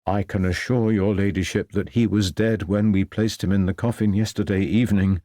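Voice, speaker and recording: A man's voice: fairly low pitch, steady tone, moderate pace, mellow, no room sound, studio quality, natural voice. A man playing a reluctant chief undertaker in an audiobook story, formal and deferential. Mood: assured.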